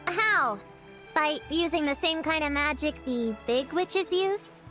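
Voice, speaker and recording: High-voiced, prim young skeptic girl. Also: slow pace. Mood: self-important. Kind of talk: video game character acting. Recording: game music behind the voice.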